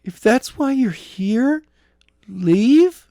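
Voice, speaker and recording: Defiant young heroine of an audiobook, raised pitch, read by a man, dry close sound. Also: expressive, very slow, dark tone, dry recording, exaggerated voice. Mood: defiant, startled. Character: defiant, startled young woman in training.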